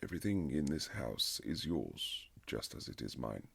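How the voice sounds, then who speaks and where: A man playing a reserved aristocratic husband in an intimate romantic period audio drama, speaking formally and haltingly with earnest tenderness in a gravelly, husky voice. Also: extremely low pitch, big pitch swings, full character voice. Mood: hesitant, resigned. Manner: formal, old-fashioned, halting, earnest phrasing.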